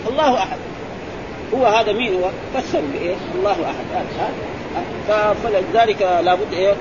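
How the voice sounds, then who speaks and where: Brisk high-voiced sheikh quizzing students. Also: male voice. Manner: quizzing, prompting with repeated "eh?" and "ha?". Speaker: sheikh teaching Arabic grammar. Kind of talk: classroom explanation with questions to students. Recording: muffled recording with crowd and traffic noise.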